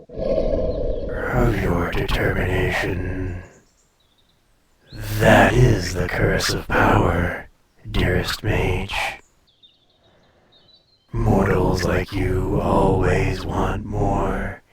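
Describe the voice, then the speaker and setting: Man voicing a very slow, deep, haughty ancient dragon. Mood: knowing, smug. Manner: slow, haughty, condescending, drawn-out phrasing. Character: ancient, proud male dragon in humanlike form, condescending, teasing. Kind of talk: audio roleplay acting, intimate monologue addressed to listener.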